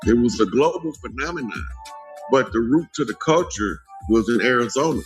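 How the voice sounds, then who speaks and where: A man's voice: mid-range voice, lively intonation, moderate pace, faint music behind. An American man speaking as a guest, lecturing conversationally in an emphatic, declarative manner. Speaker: interview guest. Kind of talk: conversational spiritual history lecture.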